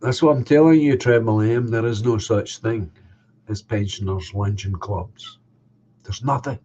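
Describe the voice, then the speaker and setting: A man's voice: on the low side, a little slow, a little mellow, muffled recording, slight background noise. An older man with a nasal, slightly congested voice, chatting casually as a stream host and answering viewers' comments one by one. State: coughing, a bit congested. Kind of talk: casual chat.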